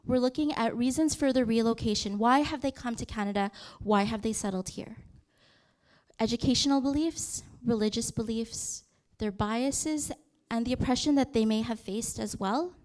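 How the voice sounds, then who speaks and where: A woman's voice: a little high, normal speed, darkish tone. A woman in her thirties presenting on a youth program, talking through slides in a conversational way with frequent fillers and restarts.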